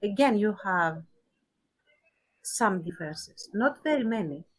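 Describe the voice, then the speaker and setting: Female podcast co-host, medium pitch, conversational, reflective pauses, emphatic on key words. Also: lively intonation. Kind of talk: casual discussion.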